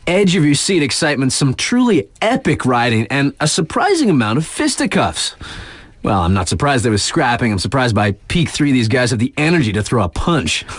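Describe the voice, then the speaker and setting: An actor playing a laid-back, wry radio DJ at a snowboard resort, chatting in slangy, conversational announcements for a game. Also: male voice, medium pitch, big pitch swings, normal speed, no echo. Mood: amused, wry.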